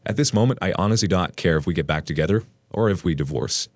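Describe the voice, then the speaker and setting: A man reading an online post aloud as a storytelling narrator, in an expressive read. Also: low-pitched, fast talker, dry recording.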